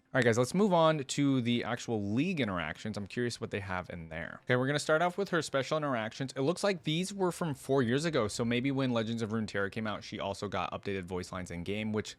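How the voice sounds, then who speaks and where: A man's voice: lowish voice, lively intonation, fairly quick, hardly any pauses, no echo. A man hosting a game reaction channel, chatting casually and enthusiastically as he comments. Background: faint music.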